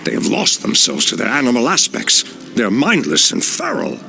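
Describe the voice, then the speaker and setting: A man's voice: medium pitch, wildly swooping pitch, moderate pace, gravelly, pushed and bright, faint music behind, heavily acted. An actor playing a stern, noble male elf druid leader who speaks in a grave, commanding way.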